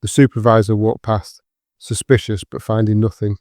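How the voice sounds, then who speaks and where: A man narrating dramatic fiction for an audiobook in a sombre, measured, scripted manner. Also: lowish voice, flat delivery, a little mellow, no room sound, studio quality.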